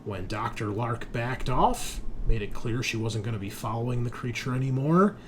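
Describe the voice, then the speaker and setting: A man's voice: mid-range voice, normal speed, noisy background. A man working as game master, recapping a tabletop game session in an informal, conversational storytelling way.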